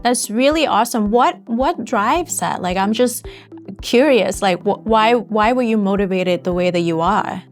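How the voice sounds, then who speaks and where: A woman's voice: medium pitch, unhurried. A woman hosting an interview, encouraging and affirming toward her guest, with frequent "like" fillers. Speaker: host. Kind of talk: interview conversation.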